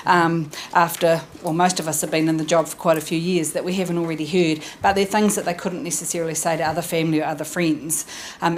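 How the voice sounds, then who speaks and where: Chatty New Zealand woman, low voice. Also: a little hiss or hum. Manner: chatty, self-deprecating and informal. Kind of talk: panel presentation. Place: conference room, audience present. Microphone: handheld mic.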